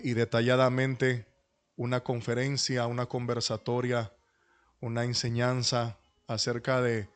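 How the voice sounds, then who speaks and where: A middle-aged man, speaking as a pastor in a humble, courteous and deliberate way to an online class. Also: medium pitch. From Dominican Republic and New York. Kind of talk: introductory talk at an online church leadership class. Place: online video call.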